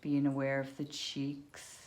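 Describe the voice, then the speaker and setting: A woman leading a guided yoga nidra meditation, speaking unhurriedly in short phrases with long pauses between them. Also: extremely low pitch, monotone, darkish tone.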